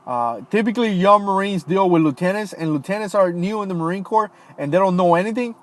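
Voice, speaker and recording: A man in his thirties talks loosely into a home mic, answering viewer comments on a livestream. His voice sits a bit high for a man. He peppers his talk with fillers and casual asides like "man" and "dude.". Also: a little fast. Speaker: livestream host, retired Marine. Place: home, Florida.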